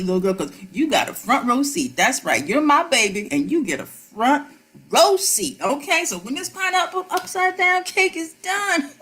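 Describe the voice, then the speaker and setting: A woman playing a proud, sassy mother who teases her little girl with a lot of spirit. The voice is high and swings widely in pitch. It comes over a video call. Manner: sassy, teasing, animated. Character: proud playful mother baking for her daughter.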